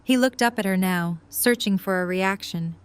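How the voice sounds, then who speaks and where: A woman narrates a scripted story for an audio drama. Her voice sits at a middle pitch, describing the scene between the characters' lines. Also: moderate pace, smooth.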